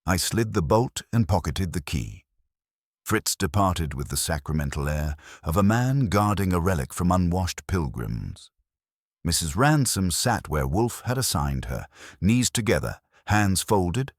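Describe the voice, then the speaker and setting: A man's voice: sits low, no room sound. A man reading a hard-boiled detective story in the first person as scripted audiobook narration, with a dry, wry manner.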